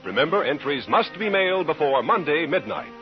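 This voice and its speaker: A man's voice: a little high, very animated, a little fast. A man with an American accent announcing in a 1950s radio style. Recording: muffled vintage radio broadcast. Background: music.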